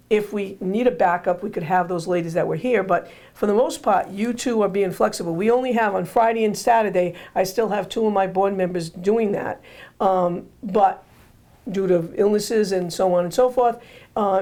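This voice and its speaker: A woman hosting a casual interview, her voice hoarse and going, rambling and repeating herself with joking asides. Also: mid-range voice, moderate pace, dark tone. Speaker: host.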